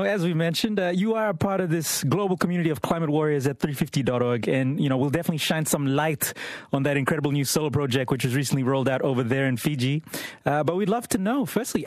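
A man's voice: a little high, fast, no echo. A man co-hosting a news interview, friendly and informal, with fillers like "you know". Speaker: news program co-host.

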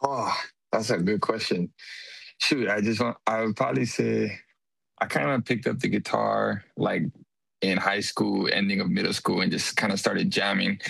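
An easygoing male musician from Hawaii with a low, deeply gravelly and husky voice. The recording is dry and close. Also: fairly even intonation, normal speed, a bit bright, dry recording, poor audio. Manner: laid-back, easygoing. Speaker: musician guest. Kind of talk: casual interview answers.

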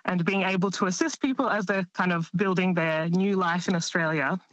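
A woman interpreter calling in to a radio show, speaking slowly and earnestly. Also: fairly low pitch, fairly bright tone, no echo, dull audio. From Russian-speaking home. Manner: slow, measured, earnest. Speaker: caller, interpreter. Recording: dry.

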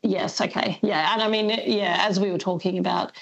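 A woman's voice: medium pitch, steady tone, moderate pace, creaky, highs cut off. A woman with an Australian accent, speaking as an expert guest in a radio discussion, explaining in plain, affirming terms. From Melbourne, Australia.

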